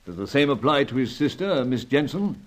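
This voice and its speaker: A man playing a courteous, anxious senior diplomat and spy chief in a radio adventure serial, speaking formally and hesitantly in an old-fashioned gentlemanly way. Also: medium pitch, big pitch swings, moderate pace, natural voice. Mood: troubled.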